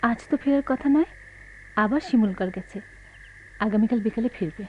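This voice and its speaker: A woman's voice: a little high, expressive, fairly quick, a little mellow, dull audio, a little hiss or hum, natural voice. An actress playing a proud, tormented married woman in a Bengali radio drama, with theatrical, emotionally charged delivery. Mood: concerned, subdued.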